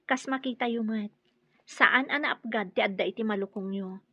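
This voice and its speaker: An actress playing a dutiful young Filipino daughter-in-law who stands up for herself in an Ilocano radio drama. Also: female voice, on the high side, moderate pace, no echo, highs cut off. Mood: indignant.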